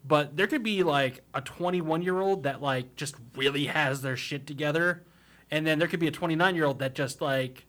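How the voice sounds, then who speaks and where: Man, about thirty, bright slightly high voice, rambling and swears casually. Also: moderate pace. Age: 30s. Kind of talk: casual group chat.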